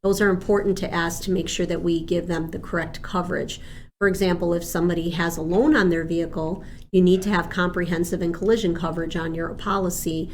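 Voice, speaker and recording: A woman's voice: fairly low pitch, steady tone, fairly quick, slight background noise. A woman from Argentina who grew up speaking Spanish, explaining things as an interview guest in a chatty, earnest, conversational way. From born in Argentina. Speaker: insurance agent, interview guest.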